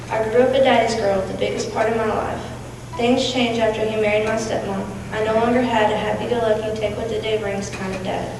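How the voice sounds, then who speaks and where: Even-toned young woman, very echoey hall. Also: mid-range voice. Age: young adult, about eighteen. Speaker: student performer. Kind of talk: readers theatre monologue, recited. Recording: echoey hall from far off, noisy.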